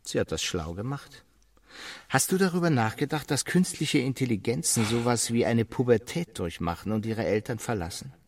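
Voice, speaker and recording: Casting: blunt male tech colleague, medium pitch, animated inflection, firm and matter-of-fact, radio drama. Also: normal speed, no echo. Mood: blunt, consoling.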